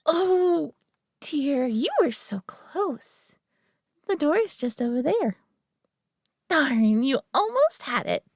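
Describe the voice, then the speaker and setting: A woman playing a prideful, possessive alien being in a roleplay audio drama, talking down to the listener with coaxing, deliberate care and dropping into whispers. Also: sits high, big pitch swings, no echo, clean studio sound, exaggerated voice. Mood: playful, teasing. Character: prideful, possessive female superior alien being, condescendingly caring.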